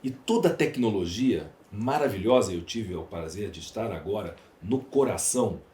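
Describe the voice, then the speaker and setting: A man's voice: lowish voice, expressive, normal speed, dark tone. A man with a Brazilian accent speaking as a podcast guest, emphatic and repeating phrases for stress in conversational answers. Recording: roomy echo, clear background noise.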